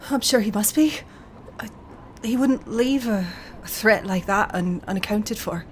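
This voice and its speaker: A woman voicing an anxious, earnest young elf scout, hesitant and pleading, in a dark fantasy role-playing game. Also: medium pitch, measured pace, slight background noise, lightly acted. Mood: anxious, insistent.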